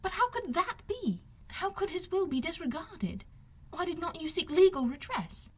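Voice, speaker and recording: A woman voicing a spirited young gentlewoman in an audiobook, speaking in emphatic, formal period phrases. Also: very high voice, very animated, moderate pace, pitched above the performer's own voice. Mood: astonished. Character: spirited young gentlewoman, shocked and indignant, easily sympathetic. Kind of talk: audiobook character dialogue.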